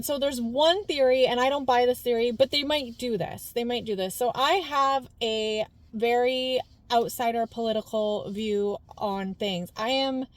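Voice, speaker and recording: Woman vlogger, high natural voice, scattered casual delivery. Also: no echo. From Canada. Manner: rambling, jumps between points with many fillers. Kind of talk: casual talking-head commentary.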